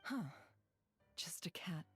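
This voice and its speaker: A woman voicing a composed, inquisitive investigator in a video game, asking measured, businesslike questions. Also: a bit bright. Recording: music behind the voice.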